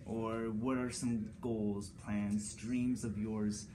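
Male student interviewer, slow and hesitant, light background hiss. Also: on the low side, level tone. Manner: hesitant, many "um" and "uh". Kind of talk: interview questions. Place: school conference room.

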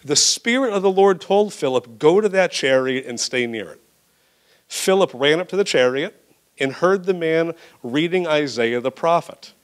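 A man's voice: fairly high pitch, animated, normal speed. A man preaching a church sermon, reading scripture aloud and then urging his congregation to serve others. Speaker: pastor.

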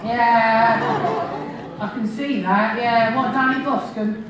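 Brief: man in drag as a brassy English panto dame, high put-on voice, cheeky audience banter, echoey hall heard from the back. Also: fairly even intonation, slow, very noisy. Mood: bawdy teasing. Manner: cheeky, bantering, leading audience call-and-response. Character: brassy bawdy middle-aged pantomime dame, widowed baker. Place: community hall stage with live audience. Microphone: filmed by a phone from the audience. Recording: echoey hall recorded from far off with crowd.

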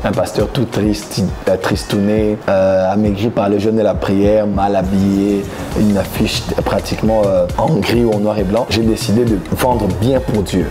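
A man in his thirties from Congo, a preacher answering interview questions in a studio, smiling and speaking thoughtfully with frequent pauses. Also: lowish voice. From Democratic Republic of the Congo. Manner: smiling, gesturing with both hands, thoughtful pauses with many "euh". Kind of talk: relaxed interview answers to camera. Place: plain grey studio backdrop, indoors. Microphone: lapel mic. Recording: background music under the voice.

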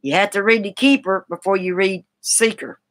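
Middle-aged woman, Carolina Southern accent, medium pitch, folksy and rambling livestream host chatting at home. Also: moderate pace. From South Carolina, United States. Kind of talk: casual chat, responding to viewers. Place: small room at home, indoors.

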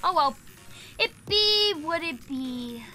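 A young woman streams a game while sick, her voice going as she chats. It sits high and bright, jumping to shrieks and swearing whenever something startles her. Faint game music plays underneath. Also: lively intonation, slow pace. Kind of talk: casual gameplay streaming chat.

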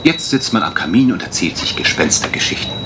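A man playing a dry-humoured, teasing gentleman detective in a German radio crime drama. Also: lowish voice, big pitch swings, brisk. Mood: mock reproachful. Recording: noisy old radio play recording.

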